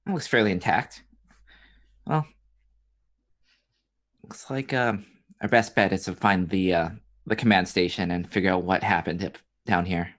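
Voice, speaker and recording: A woman's voice: extremely low pitch, measured pace, pauses often. A woman playing a tabletop roleplaying game, speaking casually and a little hesitantly in character.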